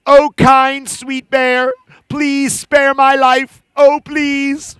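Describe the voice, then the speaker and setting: A man's voice: extremely high pitch, monotone, drawn-out words, sharp tone, dry recording, studio quality, voice pushed up, full character voice. A man voicing a small, cheeky chipmunk who begs in a sing-song plea. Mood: pleading.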